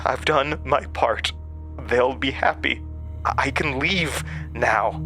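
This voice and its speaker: A man's voice: medium pitch, slow, music in the background, pitched above the performer's own voice. A man voicing a cornered, frightened young woman who pleads and falters in a horror audio drama. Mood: defensive, shaken.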